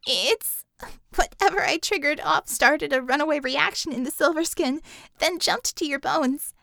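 A woman reader voicing a distraught, guilty young woman in high, loud, pleading outbursts. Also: moderate pace, creaky, no echo, clean studio sound, full character voice. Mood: anxious explaining.

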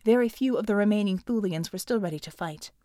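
A woman's voice: medium pitch, fast talker, dark tone, no echo, clean studio sound. A woman narrating a scripted dramatic action story as an audiobook reader.

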